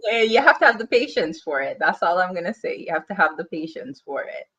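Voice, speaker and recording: A woman's voice: fairly low pitch, sing-song, a little fast, pushed and bright, slightly rough, muffled recording. A woman in her thirties with a Guyanese accent, a guest chatting casually in an interview and laughing easily.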